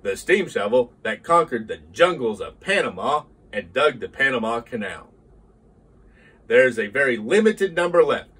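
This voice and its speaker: A man's voice: mid-range voice, very animated, moderate pace, fairly bright tone, husky. A middle-aged man hosting a toy model channel, moving from scripted history narration into an enthusiastic, casual product review. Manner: enthusiastic, emphatic repetitions.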